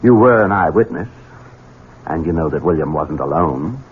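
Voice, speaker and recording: Deep-voiced, menacing scheming heir. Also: male voice, expressive, normal speed, husky, full character voice. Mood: sly, insinuating. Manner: taunting, sinister, theatrical. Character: menacing, scheming Southern heir, adult son, coldly cruel. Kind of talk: 1970s radio drama acting. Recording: tinny, band-limited 1970s radio with hiss.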